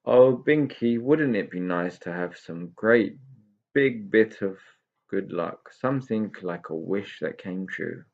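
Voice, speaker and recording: A man voicing an indignant but easily delighted young male pixie in a children's storybook, creaky and emphatic. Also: on the low side, expressive, slow, highs cut off, exaggerated voice. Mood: gloomy, wishful. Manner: exclamatory, emphatic.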